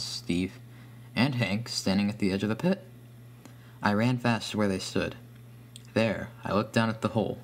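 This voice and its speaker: A man's voice: fairly low pitch, a little hiss or hum. A man reading a scripted first-person horror story as its narrator.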